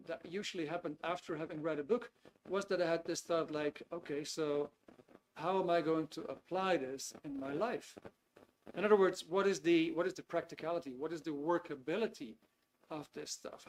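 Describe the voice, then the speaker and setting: A Dutch-accented man in his fifties, a native Dutch speaker, hosts a live webinar. His voice sits slightly higher than most men's, and he speaks in a loose, friendly way full of ums and restarts. A faint background hiss sits under his computer mic. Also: normal speed, rough recording. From Netherlands. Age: 50s. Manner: easygoing, chatty, frequent hesitations with ums. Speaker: webinar host. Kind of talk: live online webinar talk. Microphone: video call computer mic.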